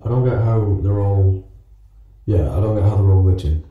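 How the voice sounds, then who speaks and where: An actor playing an uneasy technician on a phone call in a horror film, speaking in a halting, naturalistic way. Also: male voice, on the low side, lightly acted. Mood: distracted, casual. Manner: hesitant, halting, casual swearing. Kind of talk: naturalistic found-footage horror acting. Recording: muffled, roomy, distant, faint noise.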